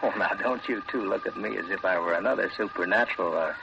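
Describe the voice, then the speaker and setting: A man's voice: low voice, fast, creaky. A man acting a suave, sardonic schemer in a 1940s radio drama, arch, pompous and florid. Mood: smug menace. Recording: dull, very noisy vintage radio recording.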